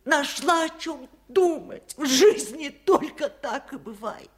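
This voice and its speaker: An actress playing a lonely, wise old village aunt in a 1970s radio play, with plain-spoken, folksy, wry delivery. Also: female voice, high voice, lively intonation, slow. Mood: musing. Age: older. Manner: plain-spoken folksy musing, wryly stoic. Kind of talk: 1970s radio drama acting.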